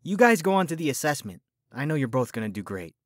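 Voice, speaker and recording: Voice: mid-range voice, very animated, quick pace, no room sound, clean studio sound, close to an everyday voice. An actor playing a teenage boy recruit who is subdued but reassuring, in a fan audio drama. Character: downcast teenage boy recruit, putting on cheer.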